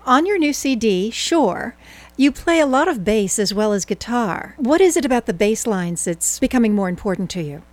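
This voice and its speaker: A woman hosting a casual interview, encouraging and quick to laugh. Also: fairly high pitch, animated, dry recording. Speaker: interviewer host.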